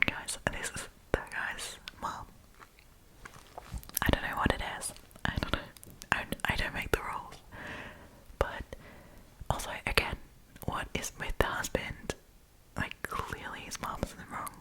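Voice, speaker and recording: A woman's voice: bright, forceful tone, poor audio. A woman doing a casual ASMR storytime, chatty and informal, close to the microphone as she reads and comments. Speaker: host reading Reddit stories and commenting.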